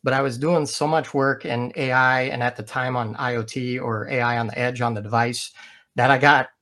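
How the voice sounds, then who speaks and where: A man's voice: medium pitch, steady tone, moderate pace, a bit bright. Guy being interviewed, telling stories, laughs a lot, easygoing. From Michigan, USA. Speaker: guest. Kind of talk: casual conversational interview.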